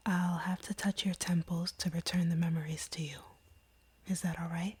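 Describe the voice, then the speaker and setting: A woman playing a guarded, wounded vampire in an intimate audio roleplay, speaking softly and haltingly in a breathy near-whisper. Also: fairly low pitch, level tone. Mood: reluctant, cautious. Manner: slow, hesitant, halting delivery. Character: guarded, wounded female vampire confessing feelings.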